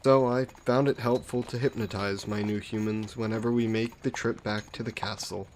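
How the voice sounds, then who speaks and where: A man playing a suave, possessive vampire lord in an intimate fantasy audio roleplay, speaking softly and coaxingly with condescending affection, as if hypnotizing a captive. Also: fairly low pitch, normal speed, slight background noise, natural voice. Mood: smug, persuasive. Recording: steady background carriage and rain ambience. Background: horse-drawn carriage and rain sound effects.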